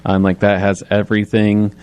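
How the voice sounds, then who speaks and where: A man with a fairly low, clean and smooth voice. He chats casually, like a guest on a radio show. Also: monotone, moderate pace, darkish tone.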